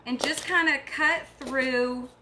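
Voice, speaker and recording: Chatty, bright-voiced woman crafting. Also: sits high, steady tone, slow pace, slight background noise. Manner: chatty and encouraging, talks while working. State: tired. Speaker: craft tutorial host. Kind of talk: casual craft demonstration.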